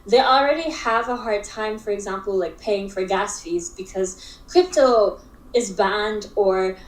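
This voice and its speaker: A young woman from Africa talks as an interview guest, her sentences stopping and restarting around frequent fillers. Her pitch sits in an ordinary middle range. The recording carries a noticeable room echo. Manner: halting, filler-heavy speech with frequent restarts. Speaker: interview guest, digital art expert. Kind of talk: casual conversational interview. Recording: roomy echo.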